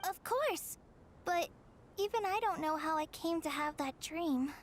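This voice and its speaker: A woman voicing a small, eager, childlike floating companion in a video game, squeaky and chirpy. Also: expressive, measured pace, slight background noise. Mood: earnest.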